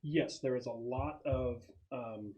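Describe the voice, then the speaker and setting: A man's voice: medium pitch, takes it slowly, darkish tone, highs cut off. A man narrating a tabletop roleplaying game as game master, describing scenes in a conversational way.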